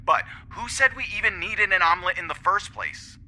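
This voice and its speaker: An actor playing an anguished, protective husband pleading emotionally in an audio drama. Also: male voice, sits high, moderate pace, pushed and bright. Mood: exasperated, protesting.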